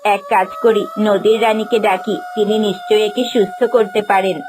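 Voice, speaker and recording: A woman's voice: mid-range voice, flat delivery, normal speed, music in the background, close to an everyday voice. Cartoon role: woman as a kind plant spirit, urgent mid-range voice. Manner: urgent, caring. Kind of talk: Bengali cartoon dubbing.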